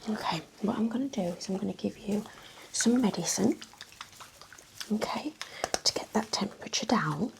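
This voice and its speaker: A woman whispering gently and reassuringly in an ASMR roleplay as a nurse, close to the microphone. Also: medium pitch, lively intonation, moderate pace, vocal fry, background noise.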